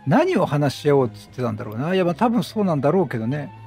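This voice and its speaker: A man giving chatty, casual, reactive commentary on a video game, often repeating words in quick bursts. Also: on the high side, very animated, creaky, dark tone. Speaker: video game let's-play host. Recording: game music under the voice. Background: video game music and dialogue.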